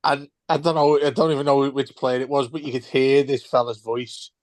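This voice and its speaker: A man's voice: medium pitch, level tone, fairly quick, a little mellow, dry recording. A man co-hosting a football podcast, telling stories with lots of swearing, laughter and stumbling restarts. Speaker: podcast co-host. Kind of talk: casual football podcast banter.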